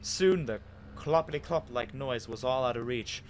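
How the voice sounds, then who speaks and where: A man voicing a confused, anxious amnesiac wanderer in a dramatic first-person story reading with expressive pauses. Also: medium pitch, slight background noise, lightly acted. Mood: puzzled, unsettled. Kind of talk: dramatic reading of fan fiction.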